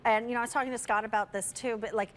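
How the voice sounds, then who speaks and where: A woman's voice: on the high side, fairly even intonation, rapid-fire, fairly bright tone, a little hiss or hum. A woman hosting a home shopping show, chatting informally and gushing as she presents products live.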